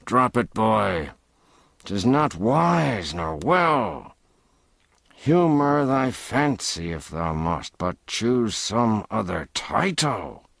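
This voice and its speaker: A man reading the part of a burly, gruff vagabond leader who gives firm orders in old-fashioned speech. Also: on the low side, animated, slow pace, a bit bright, no echo, exaggerated voice. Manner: archaic, commanding speech.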